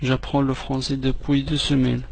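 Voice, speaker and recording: A man teaching French phrases, repeating each one slowly and clearly, with quick asides in between. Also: mid-range voice, monotone, slight background noise. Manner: slow, clearly separated repetitions, quick asides in another language. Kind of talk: repeat-after-me language drill.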